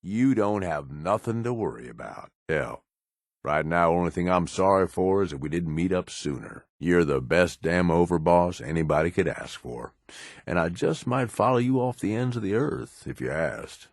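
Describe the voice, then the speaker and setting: An actor playing a roguish, cynical but loyal raider in a video game, with a folksy drawl. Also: male voice, low-pitched, expressive, normal speed, no echo, clean studio sound. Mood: fond, earnest. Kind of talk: video game companion dialogue.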